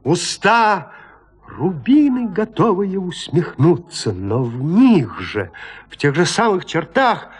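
A man narrating classic literature in an expressive, deliberate, theatrical manner. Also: high voice, husky. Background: music.

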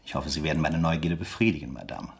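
An actor playing a suave, sardonic French army interrogation officer in a German radio drama, politely ironic with hesitant pauses. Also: male voice, very deep voice. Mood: probing, sardonic.

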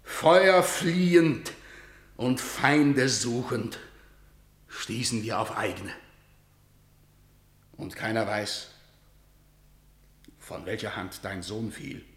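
Messenger of defeat: unhurried, solemn verse, roomy room. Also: male voice, on the low side. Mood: grave. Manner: urgent, grave declamation. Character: male messenger bringing news of defeat. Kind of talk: classical verse radio drama. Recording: roomy studio echo.

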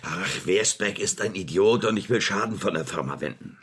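Very deep-voiced, cynical, condescending businessman. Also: animated, fairly bright tone, husky, heavily acted. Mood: calculating. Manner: measured, insinuating, condescending. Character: powerful, cynical, manipulative businessman Max, cool and condescending. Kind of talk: German radio drama acting.

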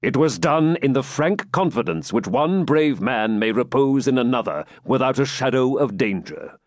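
Male reader as medieval king, calm, commanding, formal storybook speech, expressive pitch, dry recording. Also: dry recording, close to an everyday voice. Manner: measured, formal, archaic.

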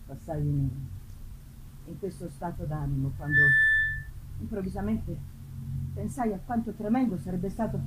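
A woman playing a troubled, loving man full of dread, telling his gothic tale in dramatic, halting, deliberate phrases. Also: on the low side, over loud music, natural voice, poor audio. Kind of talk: theatrical first-person gothic reading. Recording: live performance with loud background noise.

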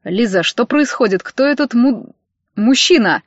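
A courteous young man in an audiobook, played by a woman, speaking in a cool, measured way. He is reserved and protective, and the recording is dry and slightly dull. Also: lively intonation, no echo. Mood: restrained, concerned. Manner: low-key, cool, measured.

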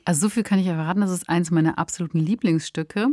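A woman's voice: fairly low pitch, fairly even intonation, fast, a little mellow, no room sound, studio quality. A woman speaking as a podcast co-host in a conversational introduction.

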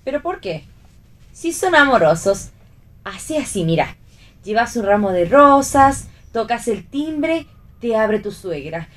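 A woman with an Argentine accent playing a lively young actress, a bossy, reassuring friend, in a radio play reading. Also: fairly high pitch, measured pace. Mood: playful coaching. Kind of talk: read theatre for radio.

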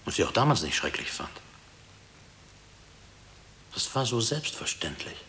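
An actor playing a grave former prisoner haunted by wartime memories, speaking in a measured, reflective way in a radio play. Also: male voice, mid-range voice, very animated, pushed and bright. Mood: quiet, matter-of-fact. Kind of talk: German radio play acting. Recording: hissy old radio drama tape.

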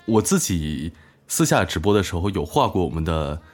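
A man's voice: sits low, big pitch swings, normal speed. A man co-hosting a casual live-streamed event, reading out questions and announcements. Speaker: live-stream co-host of an audio drama event. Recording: music behind the voice. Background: background music.

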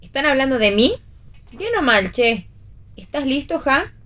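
A woman's voice: sits high, animated, measured pace, fairly bright tone. An Argentine woman playing a breezy, forward young friend in a theatrical radio play reading.

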